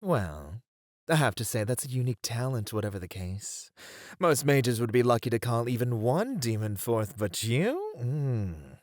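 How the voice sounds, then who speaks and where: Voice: medium pitch, sing-song, darkish tone, no room sound, lightly acted. Flirty demon, acted, languid swooping delivery, teasing, ASMR roleplay, close dry sound. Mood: flirty, coaxing. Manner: drawn-out, teasing, purring delivery.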